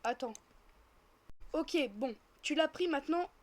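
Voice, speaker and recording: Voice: high voice, expressive, a little slow. A female speaker, casually improvising a roleplay while gaming and chattily narrating each action. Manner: chatty, narrates own actions as they happen. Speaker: host of gaming roleplay videos.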